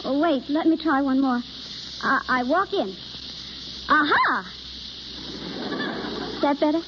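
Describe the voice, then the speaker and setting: A woman's voice: high-pitched, animated, takes it slowly. An actress playing a shy, eager young acting pupil in a 1930s radio play, with an earnest, girlish, hesitant delivery. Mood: eager, amused. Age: young adult. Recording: muffled, hissy 1930s radio broadcast recording.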